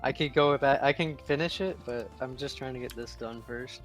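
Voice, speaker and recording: A man's voice: mid-range voice, moderate pace, over music. A young man chatting casually on a game livestream, blunt and swearing freely. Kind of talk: casual gaming chat.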